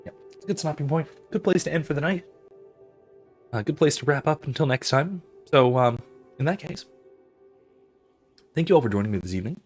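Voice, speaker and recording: A male host with a hoarse, husky voice, losing it, signing off a game stream in a halting, rambling way. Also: fairly high pitch, animated, a little mellow, faint music behind. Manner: rambling, halting, many fillers. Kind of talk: casual let's-play sign-off.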